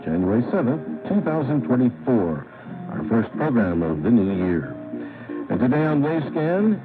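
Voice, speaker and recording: Male radio host over shortwave. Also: mid-range voice. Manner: announcer delivery. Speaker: program host. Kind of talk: scripted radio presentation. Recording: tinny shortwave radio reception.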